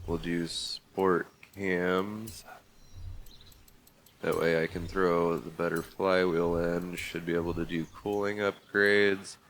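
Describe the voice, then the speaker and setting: Deep flat-voiced guy with a stuffy cold, chill game commentary, walking through car upgrades. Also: slow pace, a little hiss or hum. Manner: easygoing, methodical walkthrough with fillers. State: congested from a bad cold. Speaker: gaming video host. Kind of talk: casual gameplay commentary.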